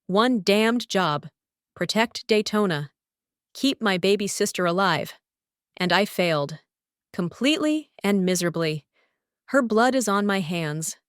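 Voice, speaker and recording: A woman voicing a grieving, soft-hearted female warplane haunted by war, speaking in a somber, confessional way in an animated drama. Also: mid-range voice, unhurried, clear, resonant tone, dry recording, studio quality, lightly acted. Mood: grieving, guilty.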